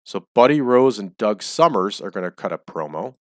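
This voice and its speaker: Male let's-play host, low and close, chatty, voice slightly dry. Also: big pitch swings, normal speed, no echo. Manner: chatty, explains choices as he goes. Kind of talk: casual game commentary. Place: small home room.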